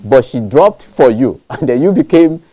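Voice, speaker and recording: A man hosts a political interview, asking probing questions in a halting way. His voice is mellow and dark. He often restarts before he lands the question. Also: medium pitch, lively intonation.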